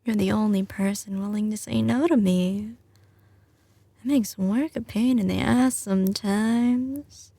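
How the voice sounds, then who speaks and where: Woman playing a slow-drawling Southern mafia boss girlfriend. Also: medium pitch, fairly even intonation, gravelly, dark tone, no room sound. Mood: teasing, affectionate. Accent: slight Southern US drawl. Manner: drawling, teasing, sliding between commanding and tender. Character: doting but ruthless young female mafia boss girlfriend. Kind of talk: ASMR audio roleplay, intimate scripted acting.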